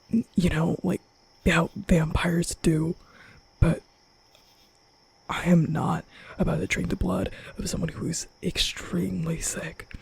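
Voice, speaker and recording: A very breathy, soft-spoken young vampire played by a man for an ASMR roleplay. He talks slowly and haltingly, stammering and flustered, often slipping into a whisper. His voice has a slight gravelly creak, and his temper is awkward and kind. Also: fairly high pitch, exaggerated voice, poor audio. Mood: awkward, apologetic.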